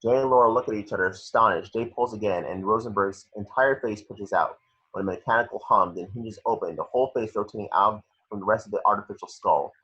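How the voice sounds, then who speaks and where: A man's voice: fairly low pitch, quick pace, sharp tone, slightly rough. A man reading scripted action descriptions as narrator for a table read, on a muffled home recording.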